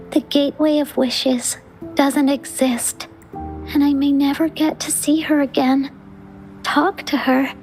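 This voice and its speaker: A small girl with a high voice and slow, soft, hesitant delivery. She sounds gentle and wistful, as game dialogue over background music. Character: wistful little girl missing her mother. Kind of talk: scripted video game dialogue.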